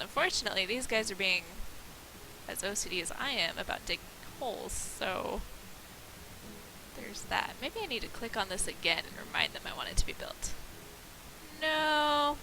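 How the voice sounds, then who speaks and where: A woman's voice: mid-range voice, background noise. A woman with a nasal voice and a slight cold, rambling through casual, self-deprecating gameplay commentary. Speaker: let's play host.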